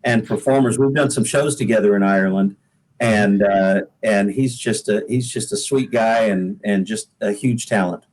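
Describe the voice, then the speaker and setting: A man from the Pacific Northwest, a singer-songwriter, chatting casually as an interview guest in a halting, repetitive way. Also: fairly low pitch, darkish tone, low-quality recording. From Pacific Northwest, United States.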